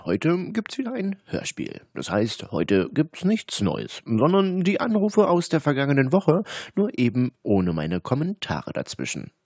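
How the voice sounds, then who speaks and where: A man's voice: mid-range voice, huge pitch swings, a little slow, few pauses, darkish tone, breathy edge, no room sound, clean studio sound. A man speaking as a channel host, giving a scripted introduction to listeners.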